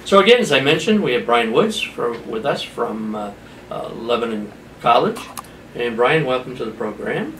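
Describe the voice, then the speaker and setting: A man hosting an informal community interview programme, speaking haltingly with pauses mid-sentence. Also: mid-range voice, lively intonation, fairly bright tone. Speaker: talk show host, chamber of commerce representative. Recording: roomy echo, distant mic, background noise.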